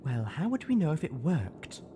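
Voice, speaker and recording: A man's voice: fairly high pitch, sing-song, moderate pace, mellow, a little hiss or hum, pitched above the performer's own voice. A man voicing a genteel, composed female pony in an audiobook, polite and proper in manner. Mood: questioning. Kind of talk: acted audiobook dialogue.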